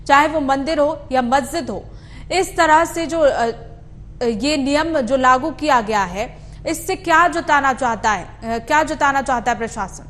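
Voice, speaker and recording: A woman's voice: sits high, a little slow, fairly bright tone. A woman news anchor who presents in an emphatic way, repeating phrases as she narrates and questions. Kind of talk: scripted news narration and live interview.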